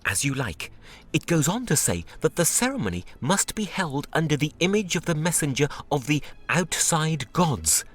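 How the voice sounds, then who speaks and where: An actor playing a scholarly, sceptical translator of ancient books in a video game, with bookish, theatrical delivery. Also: male voice, fairly high pitch, very animated, normal speed, fairly bright tone. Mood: sceptical storytelling.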